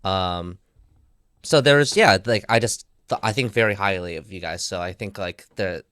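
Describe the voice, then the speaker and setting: Deep, smooth-voiced man chatting casually. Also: moderate pace, fairly bright tone, no echo. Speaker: musician, panelist. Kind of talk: casual chat.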